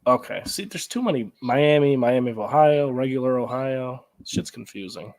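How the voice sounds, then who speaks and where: A man with an American accent co-hosting a sports show, talking casually in an informal, halting way. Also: medium pitch, animated, darkish tone.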